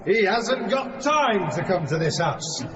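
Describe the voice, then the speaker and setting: An actor playing a scornful opposition leader, sneering and declaiming in a parliamentary radio drama. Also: male voice, high-pitched, big pitch swings, slow, dull audio, very noisy, exaggerated voice. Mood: scornful, indignant. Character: scornful male opposition leader attacking the government. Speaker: opposition politician. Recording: distant echoey hall, loud jeering crowd.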